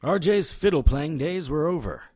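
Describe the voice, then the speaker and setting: An actor playing a gruff, wry, hard-drinking brawler who tells his own story in a hard-boiled audio drama. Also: a little high, animated, normal speed, darkish tone. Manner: sardonic, terse.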